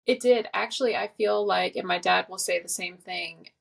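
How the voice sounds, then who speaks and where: A woman's voice: mid-range voice, normal speed, gravelly. A woman in her twenties, a podcast guest, talking earnestly and reflectively in casual conversation. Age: 20s. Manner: reflective, earnest, many fillers.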